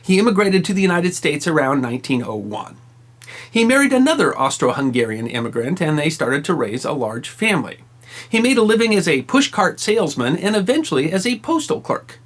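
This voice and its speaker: A man tells a history story from a script, his pitch sitting a little high. His voice rises and falls a lot as he leans on key words. He delivers dry, wry asides with emphasis. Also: sing-song, fairly quick. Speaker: history channel host.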